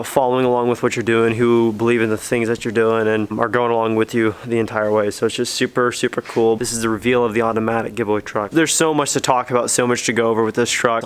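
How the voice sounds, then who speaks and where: A congested man hosting a vlog, talking excitedly and casually to his viewers. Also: mid-range voice, clean tone. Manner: upbeat, excitable, repeats himself. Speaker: vlog host. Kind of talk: casual vlog talk to camera. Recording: music playing underneath.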